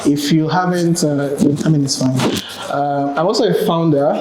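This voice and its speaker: A young man with a Ghanaian accent giving a relaxed, chatty tech conference talk, with many ums and restarts. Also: a little high, moderate pace, noisy background. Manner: relaxed, chatty, many ums and self-corrections, laughs at own jokes. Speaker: conference speaker. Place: large conference hall with audience. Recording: roomy hall with crowd.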